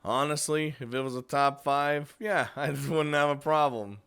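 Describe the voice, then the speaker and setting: A man's voice: fairly high pitch, measured pace, fairly bright tone, clear, resonant tone. A man giving a casual countdown review of games as host, talking informally and chuckling often between phrases. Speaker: host of a video game review series. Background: music.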